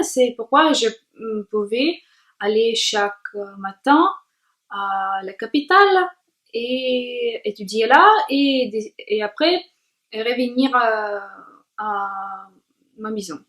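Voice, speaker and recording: Young woman, learner's vlog, slow and careful, slightly high voice. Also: a bit bright. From Latvia. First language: Russian. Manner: hesitant, many filler sounds while searching for words; careful, deliberate language-learner delivery. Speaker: vlogger. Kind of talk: self-introduction vlog.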